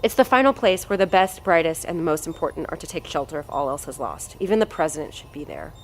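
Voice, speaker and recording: A woman's voice: low voice, sing-song, fast, soft background music. Woman acting haughty, callous scientist. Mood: dismissive, superior. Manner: haughty, cutting, emphatic. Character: arrogant, callous, impatient female research doctor in charge. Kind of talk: scripted audio drama dialogue.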